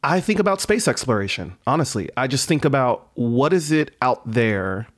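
A man talks as a podcast guest at an average male pitch. He is thoughtful and hopeful, rephrasing as he works through his ideas conversationally. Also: sing-song, moderate pace. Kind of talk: casual reflective conversation.

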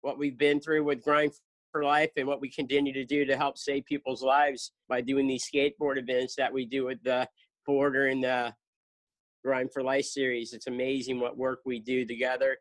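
A man's voice: medium pitch, level tone, moderate pace. A man in his fifties, a guest in a casual interview, telling his story in an earnest, chatty way. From southern United States. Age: 50s. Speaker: guest, charity founder.

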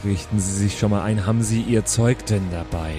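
A man's voice: fairly low pitch, brisk, music in the background, natural voice. A man playing a fussy, grumbling heavenly gatekeeper and bureaucrat in an improvised roleplay audio play, with an officious, complaining manner. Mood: grumbling, exasperated.

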